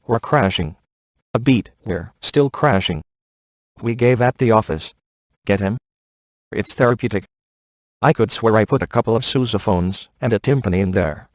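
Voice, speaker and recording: An actor playing a sardonic, laid-back male ghost hunter in a video game, delivering wry scripted quips in a casual deadpan manner. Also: on the low side, no room sound, lightly acted. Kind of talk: scripted game dialogue, dry wisecracks.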